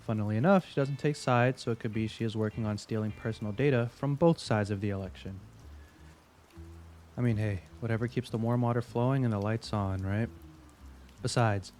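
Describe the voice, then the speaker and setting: A man's voice: on the low side, brisk, a little mellow, faint music behind, natural voice. A man playing a chatty veteran investigator in an audio drama, giving a briefing in a casual, wry way.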